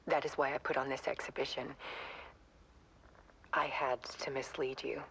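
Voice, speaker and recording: An actress voicing a devoted wife in a video game, speaking in a soft, breathy, intimate whisper. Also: female voice, extremely low pitch, flat delivery, normal speed, slight background noise, rough recording. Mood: hushed. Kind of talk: video game cutscene voice acting.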